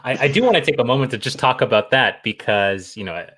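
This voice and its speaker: A man's voice: mid-range voice, expressive, quick pace, sharp tone, slightly rough. A man hosting a casual interview show, speaking eagerly with lots of fillers. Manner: eager, filler-heavy, lots of you know. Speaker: show host.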